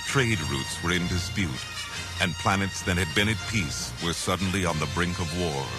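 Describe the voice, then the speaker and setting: Male storybook narrator: deep, authoritative, dramatic scripted read over a musical score. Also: over loud music. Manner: solemn, deliberate, authoritative.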